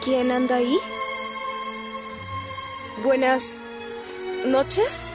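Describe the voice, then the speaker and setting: A woman playing a tender young daughter with a sweet, gentle manner in a radio drama. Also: high-pitched, expressive, drawn-out words, over music, full character voice. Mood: uneasy.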